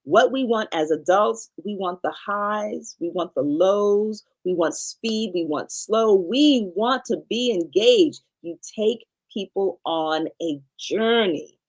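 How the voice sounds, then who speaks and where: A middle-aged woman guesting on a podcast who talks like a speaking coach. She is emphatic and teacherly, repeating short phrases to hammer each point home. Her voice sits at an ordinary middle pitch for a woman. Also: slow pace, hardly any pauses, low-quality recording. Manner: emphatic teacherly explaining with repetition. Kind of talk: podcast interview conversation.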